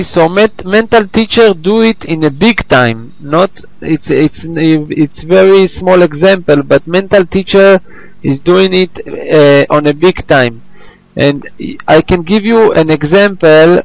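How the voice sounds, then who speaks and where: A man who is not a native English speaker, teaching informally on a group call in a halting, repetitive way as he searches for words. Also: on the high side, vocal fry, no room sound, poor audio. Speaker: host and teacher of an online English class. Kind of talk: informal lesson on a conference call.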